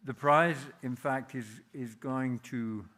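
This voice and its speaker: A man's voice: medium pitch, slow pace, sharp tone. A man with a Yorkshire accent giving a speech as a jury member presenting an award at a ceremony. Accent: Yorkshire English. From Yorkshire, England.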